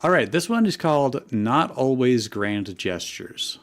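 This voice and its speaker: A man's voice: medium pitch, sing-song, a little slow. A man co-hosting a show, chatting casually and introducing pieces to the group. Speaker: co-host of a fanfiction reading show.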